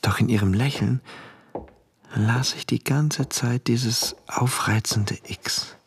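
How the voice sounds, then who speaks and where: An actor playing a rigid, troubled man from a regimented future, narrating a literary radio play in a deliberate, brooding, precise way. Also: mid-range voice, slightly rough. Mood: brooding, tense. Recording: music behind the voice.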